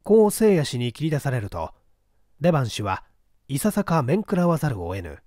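A man reading a period detective novel aloud in a measured, formal storytelling manner. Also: mid-range voice, very animated, a little mellow, no room sound, clean studio sound. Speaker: audiobook reader. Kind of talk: scripted literary narration of a period detective novel.

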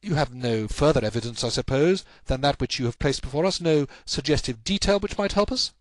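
A man voicing a brilliant, impatient gentleman detective from a storybook, with an urgent, commanding, exclamatory delivery. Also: medium pitch, animated, a little fast, no echo, voice pushed up, close to an everyday voice. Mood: brisk. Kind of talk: audiobook character acting.

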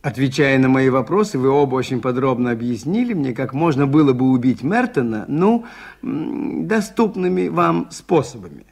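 An actor in a Soviet radio play playing a mild, shrewd priest detective, polite, hesitant and quietly probing. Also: male voice, medium pitch, big pitch swings, moderate pace, vocal fry. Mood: patient, explaining.

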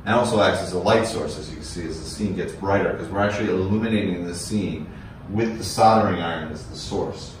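Male technical presenter, American, middle-aged, very low voice, recorded with plenty of room echo. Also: brisk, slight background noise. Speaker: company representative presenting technical products. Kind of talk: technical product demo and explanation. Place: indoors in a small office meeting room. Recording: echoey room.

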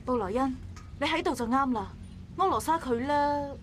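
Woman as a high-pitched, fussing dock worker. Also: normal speed, a little hiss or hum, lightly acted. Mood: concerned. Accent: Hong Kong Cantonese. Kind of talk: visual novel voice acting.

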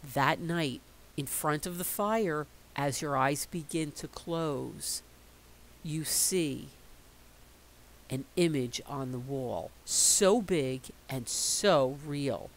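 A middle-aged woman reading a picture book aloud in a slow, expressive storytelling voice. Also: deep voice, a little hiss or hum, rough recording.

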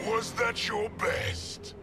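Brief: gravelly tyrant emperor, scornful and slow, loud score behind. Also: male voice, monotone, exaggerated voice. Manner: booming, mocking, commanding. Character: brutal male warlord emperor, arrogant and scornful. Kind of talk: video game cutscene acting. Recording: game cutscene with loud music.

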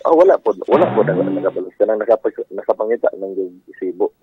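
Dull phone-line Filipino man, low voice. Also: animated, slightly rough, no echo. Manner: hesitant, halting, repeats himself. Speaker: radio show caller. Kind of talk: casual phone chat. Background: faint music.

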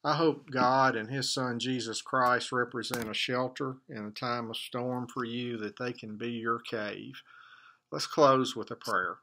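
An older man in his sixties, a church elder, speaks to a desk webcam in a small office. His tone is bright and forward, at an ordinary middle pitch. He talks gently and haltingly, filling pauses with many "uh"s as he shares a devotional and closes with a prayer. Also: fairly even intonation, a little hiss or hum. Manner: gentle, hesitant, many fillers. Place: office with wall map, indoors.

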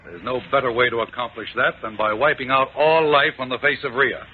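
An American actor playing a suave, cold-blooded space gang boss in a 1950s radio serial, with mock-courteous, measured menace. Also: male voice, medium pitch, fairly even intonation, natural voice. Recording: tinny, noisy 1950s radio recording.

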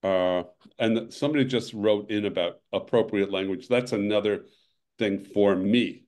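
A man's voice: lowish voice, darkish tone. Weary older man hosting a video call. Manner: halting, restarts phrases, weary. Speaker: webinar host. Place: home room, indoors.

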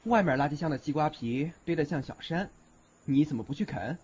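A man's voice: on the high side, lively intonation, brisk, a little mellow, slight background noise, lightly acted. A man acting an aloof, grumbling young university student in an audio drama, with a blunt, exasperated manner. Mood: cool, blunt. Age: young adult. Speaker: lead actor in a Chinese audio drama. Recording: slightly dull recording with music behind.

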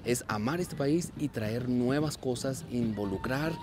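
A man in his thirties from Mexico, a dance and theater group director, speaking earnestly and at a measured pace in an outdoor interview, with frequent fillers and restarts. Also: mid-range voice, background noise. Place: outdoors at a street parade. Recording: outdoor clip with music behind. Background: festive music.

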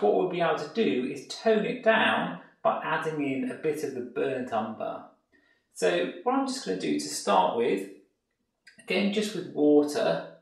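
A man's voice: fairly high pitch, gravelly, distant mic. A man with an English accent teaching a painting lesson, explaining each step in an easygoing way as he works. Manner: relaxed explanatory, thinking aloud while painting. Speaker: art tutor. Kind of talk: painting tutorial demonstration. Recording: echoey room, mic set back, soft music.

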